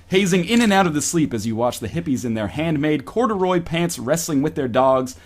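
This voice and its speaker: Lively man reading aloud playfully. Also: a little high, brisk, slight background noise. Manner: playful, mock-dramatic, breaks into laughter. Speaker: streamer reading a book aloud. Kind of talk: comedic reading aloud with asides.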